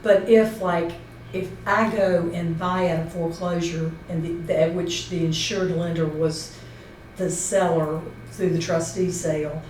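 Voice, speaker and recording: Echoey, lower-voiced woman presenting a seminar. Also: steady tone, measured pace, few pauses, darkish tone. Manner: explains step by step, checking points with a colleague. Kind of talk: informal instructional talk with questions to a co-presenter. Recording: roomy echo, distant mic, faint noise.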